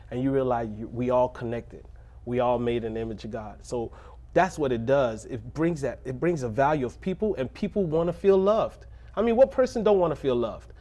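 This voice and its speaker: A man's voice: medium pitch, warm tone. Earnest, joking pastor man. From Little Haiti, Miami. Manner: earnest, preacherly, laughs and jokes. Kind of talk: casual interview answers.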